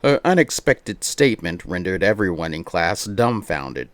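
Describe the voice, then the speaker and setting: A man livestreaming as he reads a visual novel aloud, mixing theatrical narration with playful, excitable banter in a close, dry-sounding setup. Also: low-pitched, normal speed, dry recording.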